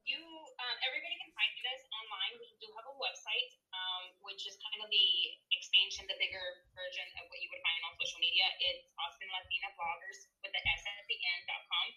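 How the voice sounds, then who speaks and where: A woman's voice: medium pitch, steady tone, brisk, hardly any pauses, sharp tone, rough recording. A woman raised in Texas, a mother, chatting upbeat as a podcast guest about her group's events, with many ums. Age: adult with kids. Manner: upbeat, chatty, says um a lot. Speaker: guest, founder of a women's group. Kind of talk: casual podcast interview.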